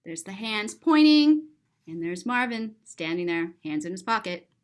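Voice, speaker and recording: A woman reading a picture book aloud to children in an expressive, sing-song way, drawing out words and describing the pictures. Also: medium pitch, measured pace. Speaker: children's story reader and teacher.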